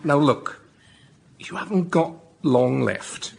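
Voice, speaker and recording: A man reading out a prepared comic pitch as a member of the public, deliberately and with pauses for effect. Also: expressive, vocal fry. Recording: live show, faint audience noise.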